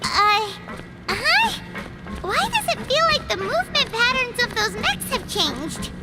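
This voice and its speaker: A woman voicing a small, eager, childlike female companion creature in a video game, squeaky and chirpy. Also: big pitch swings, measured pace, exaggerated voice. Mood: curious. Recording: loud game music behind.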